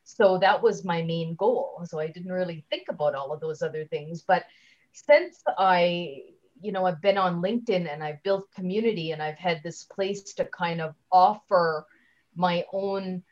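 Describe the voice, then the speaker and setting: A woman giving a conversational interview as a guest speaker, chatty and halting, often leaving sentences unfinished, on slightly dull audio. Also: lowish voice. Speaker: guest, LinkedIn trainer and speaker.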